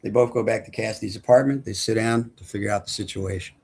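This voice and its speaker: A man's voice: fairly low pitch, fast, creaky, a little mellow, slightly rough. A man narrating screenplay action lines at a film table read. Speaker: script narrator.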